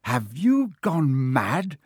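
A blunt schoolboy acted out by a man with a lively, swinging delivery. He is excitable and sarcastic, quick to grumble and snap, in the style of a dramatic audiobook. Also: on the high side, slightly rough, dry recording, studio quality. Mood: exasperated bellow. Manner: snarling, bellowing, grumbling. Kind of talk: audiobook character acting.

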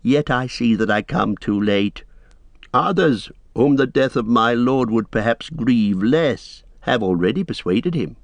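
A man's voice: medium pitch, expressive, measured pace, no room sound. A man voicing a cringing, sly royal counsellor in a fantasy storybook, whining and wheedling with obsequious politeness. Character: cringing, servile, scheming male royal counsellor, sly and pleading.

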